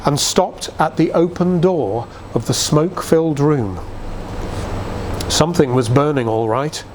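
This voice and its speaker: A man reading a literary story aloud in a dramatic, measured storytelling manner. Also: on the high side, a little mellow, a little hiss or hum. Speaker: reader. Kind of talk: expressive literary reading of a nostalgic Christmas story.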